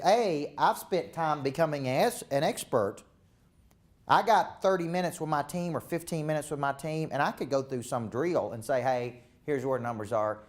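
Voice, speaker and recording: Southern man, leadership coach, punchy seminar delivery, mid-pitched. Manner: emphatic, repetitive, preacher-like cadence. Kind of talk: live seminar talk.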